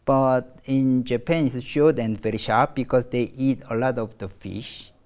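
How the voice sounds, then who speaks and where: Korean-accented woman, middle-aged, very low voice, slow halting delivery, interview guest speaking in her second language. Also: fairly even intonation, a little mellow. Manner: halting, many fillers and restarts. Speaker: artist guest. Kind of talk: interview in English.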